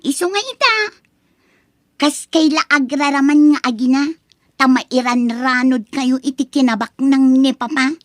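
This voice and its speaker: A woman's voice: high voice, a little slow, no room sound, studio quality. A woman acting a spoiled, haughty college-age daughter in an Ilocano radio drama, whiny and scornful, with an Ilocano accent.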